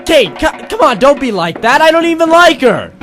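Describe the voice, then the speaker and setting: An actor playing a hard-boiled, wry private eye in a noir radio play, with clipped storytelling. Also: male voice, extremely high pitch, big pitch swings, normal speed, a bit bright, exaggerated voice. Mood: exasperated, pleading. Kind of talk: noir radio-play detective narration and dialogue. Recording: music under the voice.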